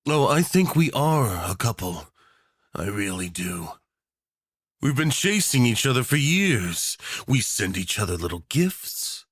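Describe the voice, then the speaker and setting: Man playing theatrical, smug yandere tyrant, wildly swooping pitch. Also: very animated, fairly bright tone, husky, no echo, studio quality, full character voice. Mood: possessive affection. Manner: theatrical, mock-sweet, drawn-out endearments. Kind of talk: ASMR roleplay acting.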